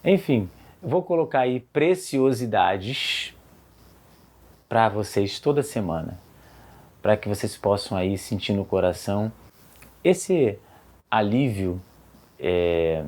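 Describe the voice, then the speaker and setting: A man's voice: fairly low pitch, animated, takes it slowly, a little mellow. A man with a Brazilian accent hosting an informal educational video as a psychologist, talking conversationally and directly to viewers. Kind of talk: informal talk to camera about emotions.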